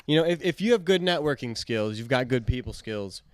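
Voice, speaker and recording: A man's voice: medium pitch, animated, brisk, clear, resonant tone, no room sound, clean studio sound. A man in his twenties co-hosting a casual podcast chat, agreeable and emphatic, often repeating his words for stress. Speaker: podcast co-host.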